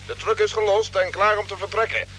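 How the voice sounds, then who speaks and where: An actor playing a businesslike spaceship pilot reporting in by radio in a Dutch radio drama. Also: male voice, high-pitched, steady tone, a little fast, fairly bright tone, a little hiss or hum. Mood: brisk, alert.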